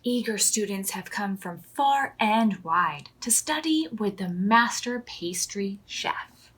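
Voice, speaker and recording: A woman's voice: mid-range voice, lively intonation, takes it slowly, fairly bright tone, a little hiss or hum. A woman hosting a children's storytime, reading aloud expressively in simple phrases for toddlers. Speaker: children's storytime host at a library.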